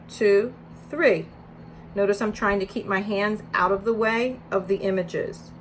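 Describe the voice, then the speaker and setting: Woman teaching through a read-aloud, noisy recording. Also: medium pitch. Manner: instructional, emphatic when reading aloud. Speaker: early childhood educator.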